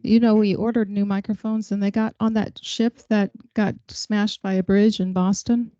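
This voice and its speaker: Mellow-voiced woman chairing a meeting. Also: mid-range voice, little pitch movement, no echo. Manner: brisk, informal chairing. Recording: dull lo-fi council chamber mic.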